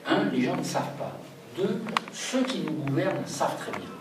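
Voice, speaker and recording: A man's voice: on the high side, big pitch swings, normal speed. A man leading a public talk, making his points emphatically and laying them out one by one. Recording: roomy hall, distant mic, noisy.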